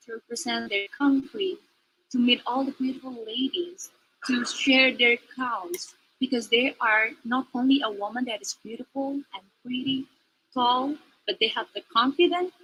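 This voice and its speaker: A young woman with an Indonesian accent, a pageant contestant giving earnest, halting answers in an interview. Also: high-pitched, sharp tone, slightly rough, low-quality recording. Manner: earnest, halting non-native English.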